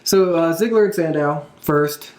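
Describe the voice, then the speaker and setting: Man, fairly high voice, podcast host, casual enthusiastic recap, echoing room. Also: a little slow, darkish tone. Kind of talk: casual chat recapping events. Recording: roomy, echoing room sound.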